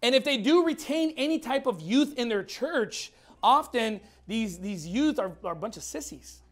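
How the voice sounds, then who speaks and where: High-voiced preacher in his thirties, hoarse. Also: animated, moderate pace. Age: 30s. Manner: emphatic, blunt, repeats words. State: losing his voice. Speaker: pastor. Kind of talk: fiery sermon. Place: church.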